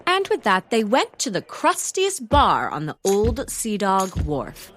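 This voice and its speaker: A woman's voice: medium pitch, wildly swooping pitch, a little slow, a bit bright, a little hiss or hum. A woman playing a wry narrator, reading scripted narration in a comedic audio drama. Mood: wry, narrating.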